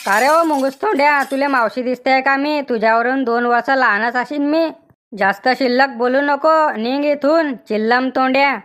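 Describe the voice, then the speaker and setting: Shrill, scolding village woman, acted by a woman. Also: moderate pace, a little hiss or hum. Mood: angry, threatening. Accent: rural Maharashtrian. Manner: sharp-tongued, bossy, scolding. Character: fiery, scolding village woman, quick to insult. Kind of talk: comic cartoon dialogue.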